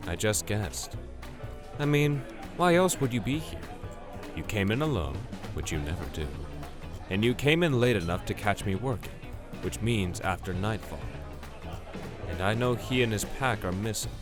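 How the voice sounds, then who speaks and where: A man as a wry, low-voiced old vampire. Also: animated, moderate pace, music in the background, close to an everyday voice. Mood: wry, confiding. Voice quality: low-pitched. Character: wry century-old male vampire bar owner, watchful informant. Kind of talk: audio drama acting.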